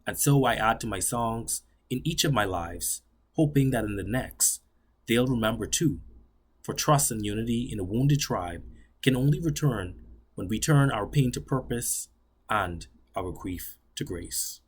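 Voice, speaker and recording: A young man reads his own poetry aloud. His voice sits at an ordinary middle pitch with a husky, breathy texture. He delivers the lines in a measured, solemn way, slowing down and sinking lower at the ends of stanzas. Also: lively intonation. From Bahamas. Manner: measured, solemn delivery that slows and drops low on closing lines. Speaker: graduate student poet. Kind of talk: spoken-word poetry reading.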